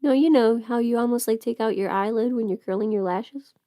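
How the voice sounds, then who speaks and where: A woman's voice: fairly high pitch, brisk, dark tone, no echo, clean studio sound. A woman chatting casually on a livestream while busy with her makeup, rambling and trailing off as if half talking to herself. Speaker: livestream host.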